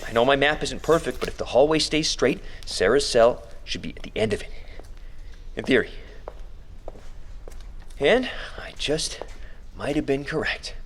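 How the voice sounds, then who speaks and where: An actor playing a frightened, desperate trapped man with a wry streak, recording himself in a breathy audio drama monologue. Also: mid-range voice, lively intonation, normal speed, long pauses, fairly bright tone, a little hiss or hum. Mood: anxious, puzzled.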